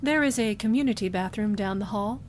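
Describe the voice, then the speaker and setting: Casting: actress as logical Vulcan female commander, precise and emotionless, sci-fi radio play, rumbly noise behind. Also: fairly quick, lightly acted. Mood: cool, stern. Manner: formal, precise, unemotional diction. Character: composed, formal, logical Vulcan woman starship commander. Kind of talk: science fiction fan audio drama acting. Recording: clear background noise with low rumble.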